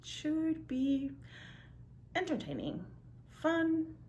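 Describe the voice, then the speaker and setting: A young woman from Puerto Rico with a Spanish accent, chatting casually at home in a weekend vlog, relaxed and rambling with fillers. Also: high voice, drawn-out words, roomy sound. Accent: Puerto Rican Spanish. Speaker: vlogger.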